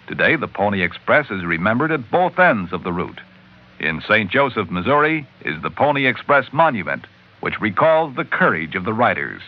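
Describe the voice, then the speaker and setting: A man reading scripted historical narration as an old-time radio announcer, in a formal, measured manner. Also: medium pitch. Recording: dull vintage radio recording.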